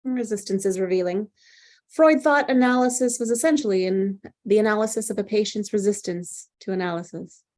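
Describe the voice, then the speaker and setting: Woman playing a measured, probing psychiatrist. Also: medium pitch, a little mellow, close to an everyday voice. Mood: probing, clinical. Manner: measured, deliberate, clinical. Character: composed, probing female psychiatrist, coolly authoritative. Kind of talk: screenplay table read.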